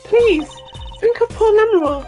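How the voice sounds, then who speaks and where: Perky teen girl magician for a game, high, bouncy, playful, performed by a woman. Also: animated, unhurried, darkish tone, soft background music. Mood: pleading.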